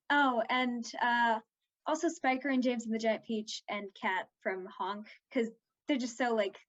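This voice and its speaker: A woman's voice: on the high side, lo-fi sound. A fourteen-year-old girl chatting casually as an interviewed young actress, her talk peppered with "like".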